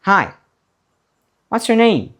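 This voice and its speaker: A male teacher drills beginners word by word. He speaks very slowly, leaving long pauses, and his pitch rises and falls with lively, encouraging swings. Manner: slow, deliberate, repeats words for learners to copy. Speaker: English teacher. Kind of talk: slow beginner English lesson, drilling words.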